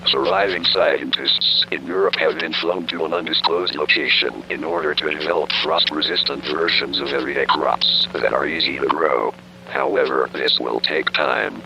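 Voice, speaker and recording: A man plays a grave emergency broadcaster in an apocalyptic radio drama. His voice is extremely deep and very sharp-edged, solemn and measured. Faint noise and hum sit under the formal announcement. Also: creaky, full character voice, low-quality recording. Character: grave emergency broadcaster reporting national collapse. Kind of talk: scripted emergency broadcast narration.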